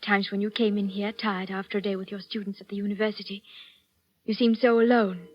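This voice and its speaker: An actress playing a shy, lonely, adoring young bookshop clerk, gentle and hesitant, in 1940s radio style. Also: female voice, mid-range voice, level tone, brisk, no room sound, lightly acted. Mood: shy, tender. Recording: dull vintage 1940s radio, faint music.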